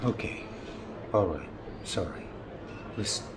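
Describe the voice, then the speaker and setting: A man's voice: low-pitched, animated, takes it slowly, noisy background. An actor playing a wounded, jealous older man in love in a film drama, earnest and pressing as he talks. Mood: earnest, pleading. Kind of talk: naturalistic film drama dialogue.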